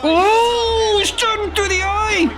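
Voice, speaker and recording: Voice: very high-pitched, slow pace, music in the background, a crowd in the background. A reaction channel host chatting casually in an informal, slangy way.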